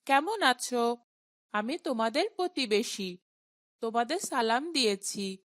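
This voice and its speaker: Brief: woman playing a respectful earnest boy, a bit high, dry close sound. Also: expressive, unhurried, a bit bright, no room sound. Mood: polite. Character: polite well-mannered young boy.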